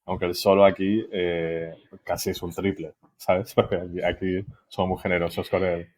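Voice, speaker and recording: A young man from Spain with a Málaga accent, chatting casually as a podcast guest, relaxed, with lots of fillers and easy laughter. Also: sits low, moderate pace. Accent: Andalusian Spanish from Málaga. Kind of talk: casual interview chat.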